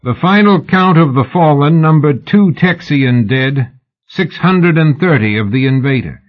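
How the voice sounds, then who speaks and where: A man's voice: fairly high pitch, animated, measured pace, dull audio, pitched above the performer's own voice. A man voicing a boastful old general in an audiobook, speaking in a pompous, oratorical way. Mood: triumphant. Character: old foreign general, boastful war hero giving pompous speech.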